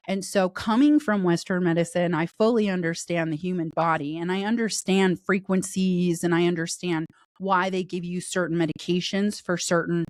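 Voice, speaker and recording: Raspy woman talking, getting over a cold. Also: fairly low pitch, no echo, clean studio sound. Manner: candid, talkative storytelling. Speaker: guest. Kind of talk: casual interview chat.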